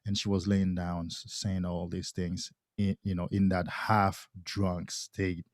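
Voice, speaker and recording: A man speaks low in his register, working through his thoughts slowly with many restarts. He sounds like a podcast guest in relaxed conversation. The recording is dry and close, with no room sound. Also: no room sound. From Haiti. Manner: thoughtful, halting with frequent restarts. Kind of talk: casual conversation.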